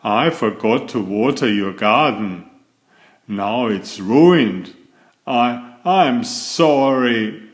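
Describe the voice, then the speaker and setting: Young storybook turtle, played by a male reader: earnest, childlike, eager to please, animated and unhurried. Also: medium pitch. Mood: sorry, anxious.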